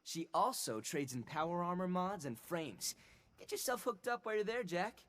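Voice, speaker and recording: A swaggering greaser gang leader, who talks down to newcomers with slangy teasing. His pitch leaps around with cocky energy, all swagger and showing off. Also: animated, normal speed, a bit bright. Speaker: game character. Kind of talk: video game dialogue.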